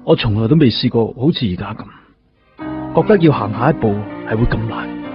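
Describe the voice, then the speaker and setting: An actor playing a troubled, conflicted police detective in a grave, reflective radio drama monologue with a Hong Kong Cantonese accent. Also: male voice, medium pitch, animated, brisk, lightly acted. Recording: slightly muffled, music underneath. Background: clear background music.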